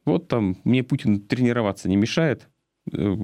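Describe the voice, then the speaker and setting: Middle-aged man, halting radio commentator, dry studio. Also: mid-range voice, lively intonation, warm tone, no room sound. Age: 40s. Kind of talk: political commentary on community radio.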